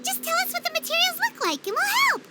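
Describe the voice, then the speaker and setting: A woman voicing a tiny, chirpy and bossy fairy companion in a game cutscene dub, squeaky and excitable. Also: fast talker, over loud music. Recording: game score underneath.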